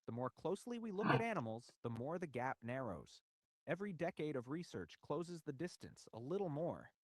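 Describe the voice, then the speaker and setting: Male documentary narrator, slightly high voice, animated intonation, measured rhetorical delivery of a script. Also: no room sound. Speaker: video essay narrator.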